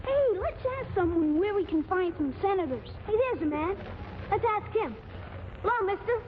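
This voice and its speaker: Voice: very high voice, expressive, moderate pace. A performer playing an eager, brash little boy with earnest, slangy exclamations in 1940s radio comedy. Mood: excited, curious. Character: eager, brash little boy visiting Washington, streetwise kid. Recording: tinny, noisy 1940s radio recording.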